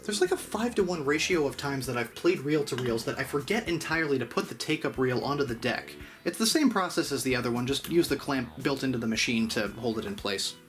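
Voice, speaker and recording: Man, casual tutorial host, highly varied intonation, step-by-step explaining, faint music behind. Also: animated, fairly quick, hardly any pauses, a bit bright. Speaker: host of an audio gear channel.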